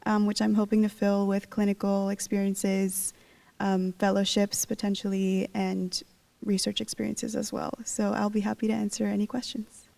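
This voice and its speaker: A woman's voice: medium pitch, normal speed, gravelly, far from the mic. A young woman, a senior student and peer advisor, introducing herself on a panel with frequent "um"s. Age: young adult. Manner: steady, frequent "um".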